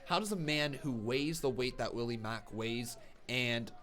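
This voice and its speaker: A man in his twenties giving live reactions and play-by-play commentary, excitable and shouting at the big moves. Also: medium pitch, unhurried. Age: 20s.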